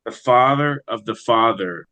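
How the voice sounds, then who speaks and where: A man speaking as a poet in an interview, reflective and measured in his manner. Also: medium pitch, pushed and bright, poor audio. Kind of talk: interview answers and poetry reading.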